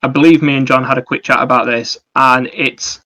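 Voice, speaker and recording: Male, gaming podcast co-host. Bright, sharp tone, little pitch movement, rambling explanations. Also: steady tone, a little fast. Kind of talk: casual gaming discussion.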